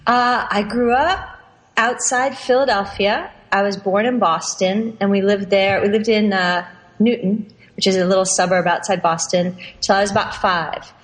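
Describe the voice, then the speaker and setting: A woman's voice: mid-range voice, fairly even intonation, normal speed. A woman from Pennsylvania speaking as a podcast guest in casual chat, chatty and often restarting her sentences with easy laughs. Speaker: podcast guest, workshop founder. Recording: long reverb on voice.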